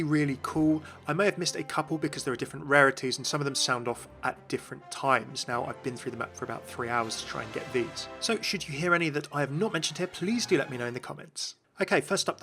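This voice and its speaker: Guy doing video game commentary, really bright voice, bit of music behind him. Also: mid-range voice. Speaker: video host explaining game lore.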